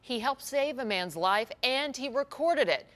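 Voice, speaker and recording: A woman's voice: high-pitched, lively intonation, unhurried, fairly bright tone. An American woman anchoring a TV newscast, reading stories and chatting informally with a co-anchor. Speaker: TV news anchor.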